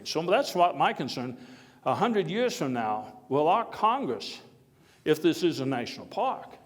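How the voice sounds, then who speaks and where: A man performs a jovial, hearty former president addressing a live audience. He speaks in folksy oratory with halting, deliberate phrasing. His voice rings through a hall with long reverb. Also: fairly high pitch. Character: Theodore Roosevelt, jovial, hearty former president telling anecdotes. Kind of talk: live historical dramatization speech to an audience.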